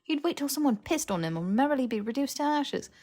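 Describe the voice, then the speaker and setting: A woman's voice: high voice, lively intonation, quick pace, a little mellow, voice pushed up. A woman acts out a stern, bookish young witch for an audiobook. She speaks in precise, wordy sentences, scolding and exasperated, always the sensible one. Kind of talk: fiction audiobook character voice.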